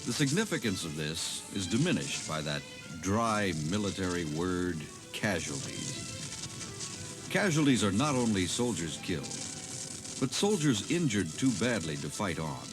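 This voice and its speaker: Male history narrator, dramatic scripted delivery, lowish pitch, lively inflection, music behind. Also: a little slow.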